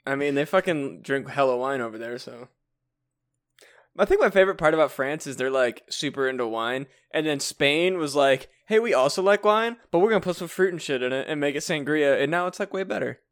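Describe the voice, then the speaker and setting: Profane rambling man, slightly high voice. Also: animated, a little fast. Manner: rambling, profane, laughs often. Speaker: podcast co-host. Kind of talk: casual comedic chat.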